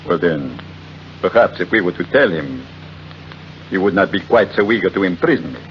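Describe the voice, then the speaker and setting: Deep-voiced, oily, courteous blackmailer. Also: male voice, animated. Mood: oily, polite. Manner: mock-courteous, formal, unhurried. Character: smug, oily blackmailer, shabby genteel man about fifty. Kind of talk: 1940s radio acting. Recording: dull, noisy old radio recording.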